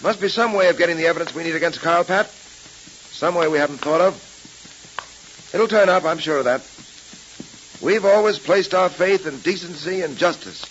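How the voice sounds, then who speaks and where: A man's voice: a little high, fairly bright tone, a little hiss or hum, natural voice. Man acting earnest detective hero, muffled old radio. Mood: determined. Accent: American. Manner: earnest, formal, deliberate phrasing. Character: resolute, principled male police detective hero. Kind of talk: 1930s radio serial acting.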